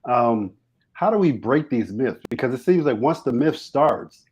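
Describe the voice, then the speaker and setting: A man co-hosting a casual interview, asking questions and giving quick eager replies. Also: medium pitch, very animated, darkish tone, breathy edge. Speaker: podcast co-host.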